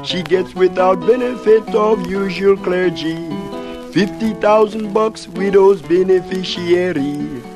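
An actor playing a genial, polite calypso singer with a formal, lilting delivery in an old radio drama. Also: male voice, sits high, slow. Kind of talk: 1950s radio acting, rhymed singing narration. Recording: old radio broadcast with loud music.

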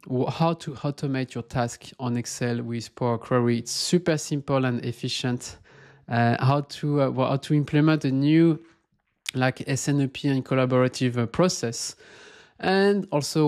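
A man, speaking at a middle pitch. He explains things in a relaxed, conversational way, with plenty of restarts and quick checks with the listener. The sound is close to the microphone in a small room. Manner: loose and conversational, frequent restarts and "okay?" checks. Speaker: supply chain instructor. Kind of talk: casual explainer lesson. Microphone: close microphone in front.

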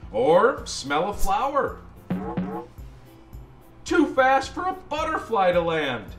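Guy reading a kids picture book, really expressive and kinda slow, bit of music behind. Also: high-pitched, echoey room. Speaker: storyteller. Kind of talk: children's picture book read-aloud.

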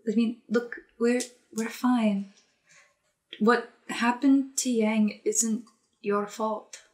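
A woman playing a loyal, grieving adventurer in a tabletop game, earnest, halting and consoling. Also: on the high side, fairly even intonation, a little mellow. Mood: sad, consoling. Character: consoling, loyal adventurer mourning a best friend.

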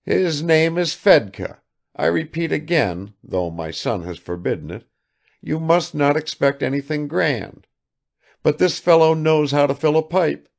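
A man's voice: a little high, animated, unhurried, creaky, voice pushed up. A man doing a fussy, chattering old father. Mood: eager. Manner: eager, chattering, fidgety. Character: fussy, garrulous, good-natured old country father.

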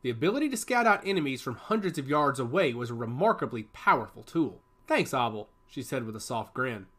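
A man reading a science fiction story aloud as a narrator, shifting his pitch up for the characters' lines. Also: animated, fast talker, pushed and bright, breathy edge.

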